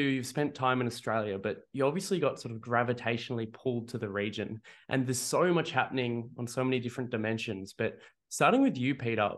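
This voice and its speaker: Casting: male podcast interviewer, average-pitched voice, conversational delivery.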